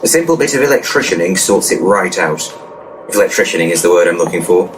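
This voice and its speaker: A man's voice: deep voice, expressive, fast talker. An actor playing a cocky, crude ghost-busting handyman in a comedy audio drama, pompous and matter-of-fact. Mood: pompous, assured. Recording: distant mic, clear background noise.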